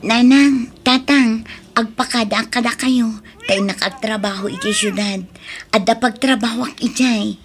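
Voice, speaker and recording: A woman's voice: on the high side, steady tone, a little slow, soft background music. A woman playing a dutiful, caring young working daughter in a radio drama. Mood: earnest. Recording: noisy radio drama recording.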